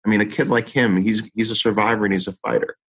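Deep-voiced earnest man in his forties. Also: flat delivery, fast talker, a bit bright, husky, rough recording. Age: 40s. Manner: earnest, reflective, many restarts and fillers. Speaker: teacher. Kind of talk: interview conversation.

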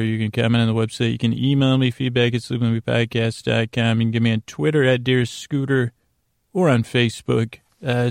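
An American man hosts a bedtime podcast in a heavily creaky, gravelly voice at a middling pitch. He meanders through tangents, halting and trailing into long pauses. The sound is close and dry, with no room around it. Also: darkish tone, no echo. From United States. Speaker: podcast host. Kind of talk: rambling bedtime podcast monologue.

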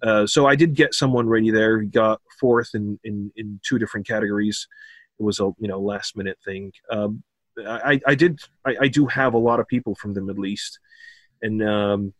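A man's voice: lowish voice, normal speed, no echo. American-sounding guy, bodybuilding coach, laid back, laughs a lot, dry sound. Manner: relaxed, laughs often, repeats words. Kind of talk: casual podcast interview.

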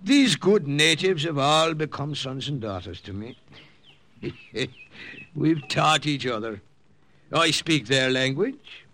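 A fatherly priest from an old radio play. His voice sits a little high and rises and falls freely, with a bright edge. He hesitates genially, like an uncle hunting for the right word among his flock. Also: expressive, a little hiss or hum, exaggerated voice. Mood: genial, fond. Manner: halting, avuncular, with little hesitations. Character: kindly, paternal Irish missionary priest in the jungle. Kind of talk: 1940s-50s radio drama acting.

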